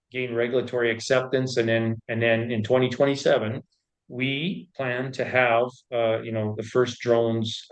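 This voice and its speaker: A man explaining his business in an interview, speaking in halting, piecemeal phrases and often repeating words. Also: mid-range voice, low-quality recording. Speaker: tech company founder and executive being interviewed.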